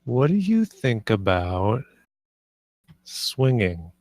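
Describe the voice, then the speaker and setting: A man's voice: mid-range voice, sing-song, drawn-out words, a little mellow, no echo, exaggerated voice. A British man reading the part of a nervous, earnest husband in a film script, with a hesitant, understated delivery. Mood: hesitant, anxious. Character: nervous, earnest husband proposing swinging to his wife. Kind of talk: film script table read, naturalistic dialogue.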